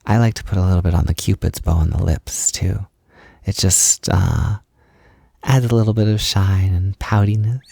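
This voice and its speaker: A man playing a tender, encouraging partner in an intimate roleplay audio, speaking softly in a gentle, hesitant, reassuring way. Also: deep voice, fairly even intonation, normal speed, no echo, clean studio sound. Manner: gentle, reassuring, hesitant, with breathy whispered moments. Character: tender, encouraging man comforting partner while doing makeup. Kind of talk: intimate roleplay audio, soft ASMR talk.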